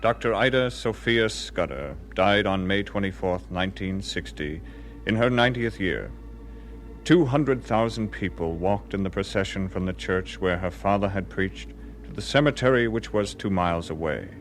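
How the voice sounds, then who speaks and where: A man announcing a radio drama, reading scripted narration in a formal, measured and solemn manner. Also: deep voice, lively intonation, faint music behind.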